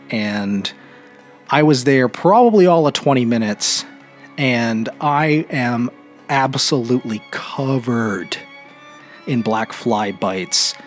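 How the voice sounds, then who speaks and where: An American man with a mid-pitched voice hosts a podcast on his own. He talks in a casual, rambling monologue, often breaking off and picking up again. Faint music plays behind his informal delivery. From Vermont, New England. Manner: informal, rambling, self-interrupting. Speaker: podcast host.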